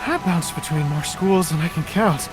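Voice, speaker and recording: An actor playing a good-natured, gently stubborn young man in a video game, halting and wry, with music behind him. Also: on the high side, close to an everyday voice, low-quality recording. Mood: earnest. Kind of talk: video game cutscene acting.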